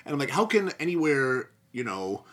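A man chatting casually about a TV show as a podcast panelist, telling stories in an animated way. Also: medium pitch, moderate pace.

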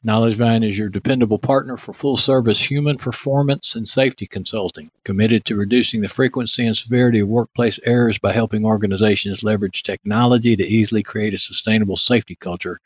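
A man's voice: on the low side, steady tone, quick pace, hardly any pauses, husky, dry recording. Male podcast host, Texan accent, casual and folksy, dry recording. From Texas, United States. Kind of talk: conversational interview with scripted sponsor read.

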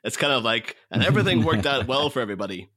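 A man's voice: mid-range voice, lively intonation, very fast, pushed and bright. A man talking casually about films as a podcast guest, explaining things in a rambling, conversational way. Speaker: podcast guest, co-host of a film podcast. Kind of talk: casual film discussion.

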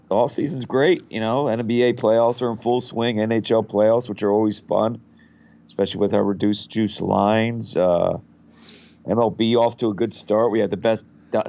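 A man from a betting site's marketing side, guesting on a podcast, hoarse from a head cold and talking in a relaxed, rambling way. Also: lowish voice, highs cut off. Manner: relaxed, rambling, many fillers. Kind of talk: casual podcast interview.

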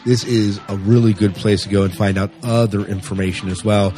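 A man's voice: fairly low pitch, steady tone, brisk, dark tone. A man hosting a podcast, chatting casually and informally. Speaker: podcast host. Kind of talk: casual podcast outro chat.

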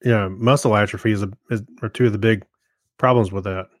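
A man co-hosting a podcast, chatting casually in a low-key, tentative way. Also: fairly even intonation, a little fast, no room sound, clean studio sound. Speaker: podcast co-host. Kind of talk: casual chat.

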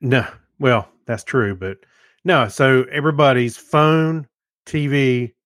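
A man's voice: medium pitch, slow, a bit bright, dry recording, studio quality. An American man co-hosting a podcast in casual, easygoing, joking conversation. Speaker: podcast co-host. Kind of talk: casual chat.

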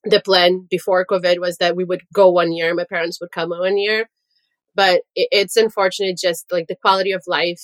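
A woman's voice: lowish voice, no echo. Chatty Brazilian-born woman, dry close sound. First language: Portuguese. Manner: chatty, laughs while telling stories. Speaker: podcast guest. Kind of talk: casual chat.

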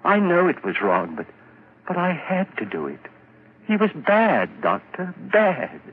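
An actor playing a deranged, gentle male killer who insists he hears everything, in 1940s radio acting. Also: high voice, huge pitch swings, measured pace, heavily acted. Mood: pleading. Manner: pleading, rising to frantic insistence. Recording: tinny old radio broadcast with hiss.

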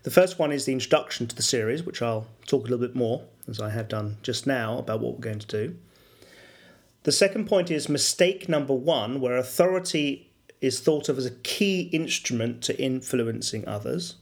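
A middle-aged man talks through a solo podcast episode in a mid-pitched voice. He explains things in a measured way, pausing on fillers and correcting himself as he goes. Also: lively intonation. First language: English. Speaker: podcast host and business English coach.

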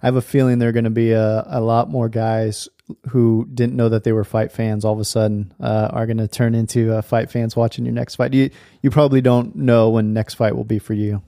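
Man, podcast host, friendly and affirming, mellow warm voice, dry close sound. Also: medium pitch, little pitch movement, a little fast, creaky, dry recording. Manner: friendly, affirming, laughs often. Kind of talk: casual interview chat.

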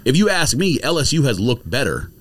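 A man's voice: medium pitch, sing-song, a little fast, a bit bright. A man in a casual sports debate, speaking emphatically and with opinions, using slang as a podcast host.

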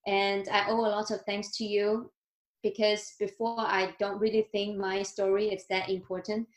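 A woman in her thirties with a Chinese accent tells her story as a guest on a video call. She sounds earnest and grateful, speaking gently with small slips in her English. The sound is echoey, as if she is in a bare room. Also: medium pitch, level tone, moderate pace. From China. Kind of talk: interview, telling her life story.

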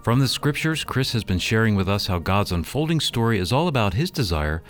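A man's voice: fairly low pitch, sing-song, fairly quick. A man reading a scripted radio show introduction as announcer and co-host. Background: music.

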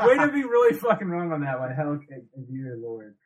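A man's voice: a little high, big pitch swings, normal speed, a little mellow, rough recording. A young man from Ohio hosting a casual podcast, loose and laughing, swearing freely as he chats and interviews a guest. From Ohio, USA. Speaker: podcast host.

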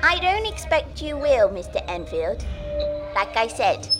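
A man's voice: extremely high pitch, very animated, unhurried, music in the background, pitched above the performer's own voice, heavily acted. A man doing an eerie, solemn little girl. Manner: grave, precise, grown-up serious tone. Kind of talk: audiobook character voice.

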